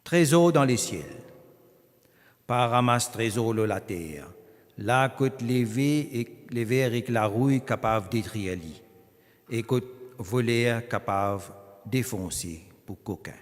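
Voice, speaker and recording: Male lector reading at a service; animated pitch, echoing church hall. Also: slow pace. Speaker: scripture reader. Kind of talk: liturgical Bible reading. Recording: long reverb of a large hall.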